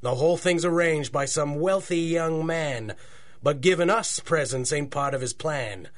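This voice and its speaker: A man's voice: on the high side, a little slow, lightly acted. An actor playing a villainous, greedy gangster boss in a rhymed radio drama, talking like a slangy tough guy. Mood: greedy, gloating.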